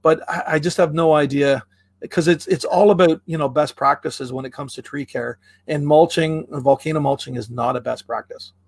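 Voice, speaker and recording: A man from Canada explaining tree care in a casual chat, relaxed and full of fillers and restarts, with playful comparisons. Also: a little high, normal speed, vocal fry, breathy edge, no echo. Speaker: tree care expert guest.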